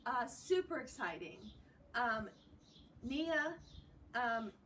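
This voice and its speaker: An American woman reading a story aloud like a teacher, expressive and explanatory, breaking off for casual commentary. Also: on the high side, takes it slowly. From United States. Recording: distant mic, noisy room ambience.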